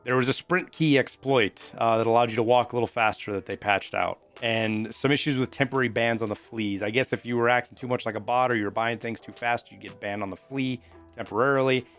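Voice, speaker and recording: A man's voice: mid-range voice, steady tone, a little fast, a bit bright. A man hosting a video game news update in an informal, conversational, explanatory way. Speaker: gaming news host. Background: background music.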